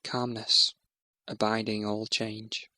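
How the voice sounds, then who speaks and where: A man narrating a guided meditation in a soothing, measured, instructional way. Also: on the low side, level tone, sharp tone, no room sound.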